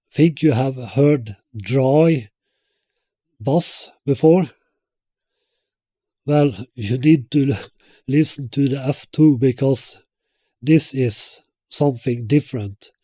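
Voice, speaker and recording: A man explains technical design at a very unhurried pace, with hesitant, halting phrasing. His voice is soft-edged and mellow, captured in a dry, close sound. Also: medium pitch, no room sound. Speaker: loudspeaker designer presenting own product. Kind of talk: product presentation with technical explanation.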